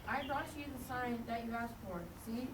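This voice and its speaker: A woman's voice: fairly high pitch, fairly even intonation, moderate pace, close to an everyday voice. A woman playing a humble, earnest peasant man in a puppet show, with simple delivery. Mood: earnest, puzzled. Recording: echoey, noisy, distant live recording.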